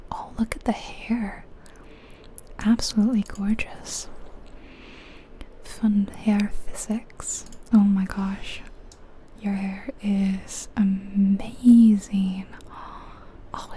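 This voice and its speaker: A woman whispering breathily and gently close to the mic in an unhurried, chatty ASMR game commentary. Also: mid-range voice, a little hiss or hum. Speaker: ASMR video game streamer.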